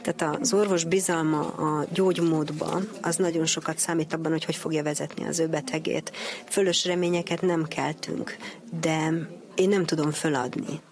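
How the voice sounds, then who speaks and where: A woman's voice: low-pitched, fairly even intonation. A woman doctor speaking earnestly and reflectively as an interview guest. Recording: busy crowd noise behind voice.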